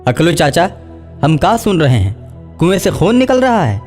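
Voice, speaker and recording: A man's voice: on the high side, sing-song, moderate pace, a little mellow, faint music behind. A man playing a firm, level-headed village headman who reasons and protests, in a cartoon horror story. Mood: questioning. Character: assertive, level-headed male village headman, indignant at injustice. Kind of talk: Hindi animated horror story acting.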